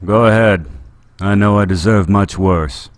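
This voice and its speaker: A man voicing a weary, remorseful male city administrator who speaks quietly and earnestly. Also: on the low side, unhurried, dry recording, natural voice.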